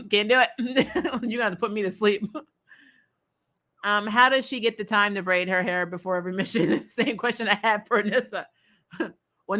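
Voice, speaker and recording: An American woman hosting a casual recap show, chatting in a blunt, animated, opinionated way with informal language. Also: medium pitch. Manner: animated, frequently laughing, blunt and informal with mild swearing. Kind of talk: casual opinionated fan chat, reading and answering comments.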